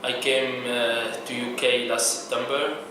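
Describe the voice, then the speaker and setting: A man from Syria with a Syrian Arabic accent, speaking English in a halting way as he searches for words. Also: mid-range voice, flat delivery. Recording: echoey room, distant mic, noise.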